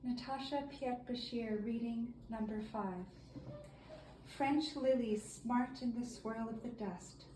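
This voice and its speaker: A woman's voice: a little high, slow pace. A woman reading a poem aloud to others. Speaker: family member reading a poem. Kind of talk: poetry reading. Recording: echoey room, clear background noise.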